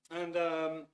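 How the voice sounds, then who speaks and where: A man in his fifties with a Dutch accent, hosting a live webinar in English, talking at an easy pace and sometimes searching for words. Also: a little high. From Netherlands. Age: 50s. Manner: unhurried, searching for words, many fillers. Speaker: webinar host.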